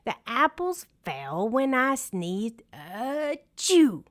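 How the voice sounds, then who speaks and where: A woman voicing a big, stubborn, worried male bear in a children's picture book, with slow, earnest delivery. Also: a little high, very animated, a bit bright, pitched above the performer's own voice, heavily acted. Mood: insistent.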